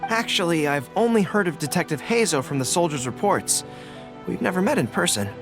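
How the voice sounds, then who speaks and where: A courteous, earnest young general, his fairly high voice measured and formal. Soft music plays behind the game dialogue. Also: animated.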